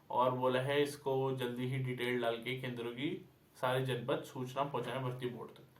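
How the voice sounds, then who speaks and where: A man giving an informal explainer to viewers like a coach, mixing in English words and repeating his points. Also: mid-range voice. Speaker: exam-update presenter and coach. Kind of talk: informal explainer to viewers, reading out and commenting on a notice. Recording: echoey room.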